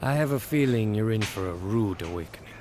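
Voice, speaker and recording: A man voicing a measured, wry wrestler trainee from Mexico in a video game cutscene. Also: fairly low pitch, expressive, noisy background, natural voice. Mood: guarded, wry.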